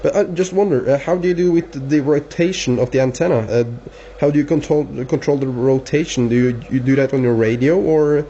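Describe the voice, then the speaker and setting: A man with a Norwegian accent and a medium-pitched voice makes casual radio small talk. He speaks haltingly and repeats words. The recording is slightly hissy and dull. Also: dark tone. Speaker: amateur radio operator filming the contact. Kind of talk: casual two-way radio chat. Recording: dull lo-fi camera sound, faint hiss.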